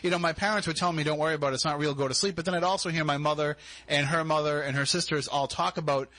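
A man's voice: fairly high pitch, fairly even intonation, very fast, pushed and bright, no echo. A male podcast host in a studio, asking long, reflective questions of a guest. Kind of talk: interviewing a guest.

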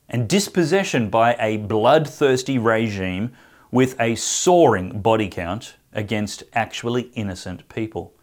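A man hosting an interview show, reading a statement firmly and then asking questions with many hesitations. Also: fairly low pitch. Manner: firm read-aloud delivery; halting filler-heavy questions. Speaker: host. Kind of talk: podcast interview.

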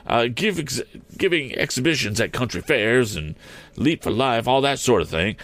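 A man voicing a modest, plain-spoken exhibition balloonist, talking in a hurried, folksy, halting way. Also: medium pitch, expressive, a bit bright, no room sound, voice pushed up, lightly acted. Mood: flustered, modest.

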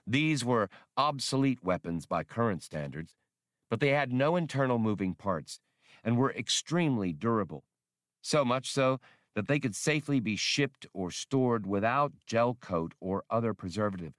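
A man's voice: medium pitch, animated, moderate pace, dry recording. A man giving a scripted audiobook narration of adventure fiction, recorded close to the microphone.

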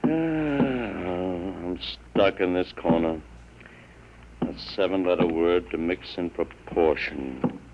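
A man's voice: low-pitched, slow, muffled recording. A man playing a genial, easygoing middle-aged small-town father in an old-time radio drama. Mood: musing, teasing. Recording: noisy old radio broadcast recording.

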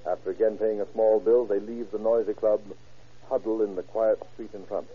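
Man as dramatic radio crime narrator, low and serious, very tinny vintage recording. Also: steady tone, normal speed, slightly rough.

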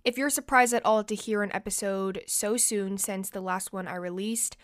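A woman's voice: medium pitch, steady tone, moderate pace, dry recording, clean studio sound. A young woman hosting her own podcast, chatting casually and confidingly with lots of fillers, her nose a little stuffy from allergies. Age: young adult. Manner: chatty and confiding, many "like" and "you know" fillers. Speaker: podcast host. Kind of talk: casual solo podcast chat.

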